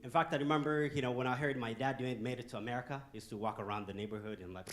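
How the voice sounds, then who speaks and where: A man from Ethiopia, giving a joking, animated motivational talk to a youth audience in a conference hall and throwing questions at the crowd. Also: mid-range voice, very fast. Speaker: guest speaker.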